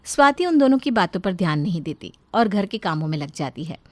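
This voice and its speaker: A woman's voice: lowish voice, very animated, a little fast, no echo. A woman narrating a scripted story.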